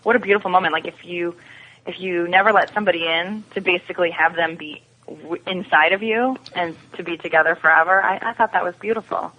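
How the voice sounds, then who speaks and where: A woman's voice: on the low side, quick pace, slight background noise. A woman giving her opinions in a casual, conversational TV discussion as a podcast guest. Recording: dull phone-like lo-fi connection.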